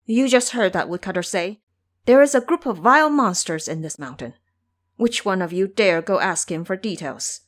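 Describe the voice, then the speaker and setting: A woman's voice: mid-range voice, lively intonation, normal speed, close to an everyday voice. Woman playing an anxious, solemn monk. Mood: frightened. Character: anxious, pious male monk master, solemn and timid. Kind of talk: audio drama character acting.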